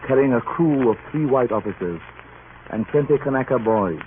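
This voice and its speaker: An actor playing a grave, weary sea captain in an old radio drama, with a measured, solemn delivery. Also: male voice, mid-range voice, animated, close to an everyday voice. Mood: solemn, reflective. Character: grave, weary male sea captain narrating his past. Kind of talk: 1940s radio drama acting. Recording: muffled, noisy old radio broadcast.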